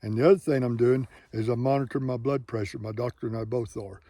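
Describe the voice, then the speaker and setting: A man's voice: medium pitch, fast talker, dark tone, no echo. Plainspoken man in his late sixties. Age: 60s. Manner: plainspoken, rambling, folksy with "man" and "ain't". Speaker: hiking vlogger. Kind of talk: candid casual talk to camera. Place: living in Colorado.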